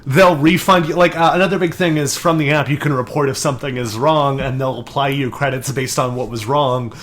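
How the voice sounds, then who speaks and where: A man's voice: medium pitch, steady tone, a little fast, fairly bright tone. A young man co-hosting a casual podcast, telling a long story with many restarts and asides. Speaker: podcast co-host.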